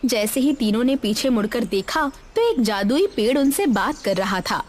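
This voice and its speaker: A woman's voice: a little high, animated, moderate pace. A woman reading a scripted children's story in a narrator's role. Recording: music behind the voice. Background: background music.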